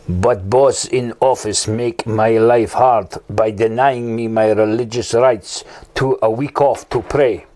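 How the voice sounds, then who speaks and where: A man doing a loud broken-English runaway. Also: medium pitch, fairly even intonation, takes it slowly, few pauses, voice pushed down, close to an everyday voice. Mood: earnest. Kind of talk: comedy sketch.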